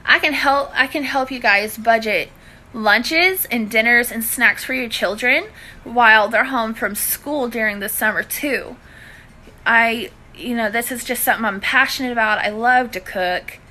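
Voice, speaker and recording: A woman's voice: fairly high pitch, a little slow, pushed and bright, low-quality recording. A woman in her thirties with a Southern US accent, chatting informally to live viewers indoors on a phone held at arm's length, using friendly endearments. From Louisiana, southern US. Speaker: cooking and budgeting live-stream host. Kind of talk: casual chat to live viewers. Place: indoors in a living room.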